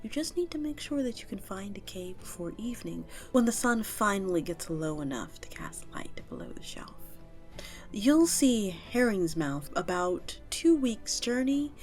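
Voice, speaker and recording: A woman as a lively, friendly vampire innkeeper. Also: medium pitch, normal speed, soft background music, lightly acted. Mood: helpful, informative. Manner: animated, coaxing, conversational with little chuckles. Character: friendly vampire innkeeper, warm, nurturing, chatty tavern keep. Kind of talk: immersive audio roleplay addressed to the listener.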